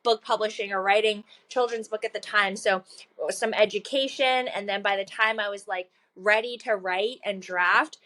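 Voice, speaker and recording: A woman's voice: mid-range voice, fairly even intonation, normal speed, bright, forceful tone. A woman in her thirties, a children's book author, talking casually and earnestly as an interview guest, laughing often and using many fillers. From China.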